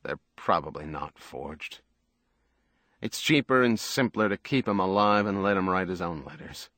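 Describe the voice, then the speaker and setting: Man playing a low, measured, cautious world-weary man. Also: expressive, no echo, clean studio sound. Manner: measured, cautious, quietly firm. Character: world-weary man, cautious, blunt yet gently protective. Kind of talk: audiobook character dialogue.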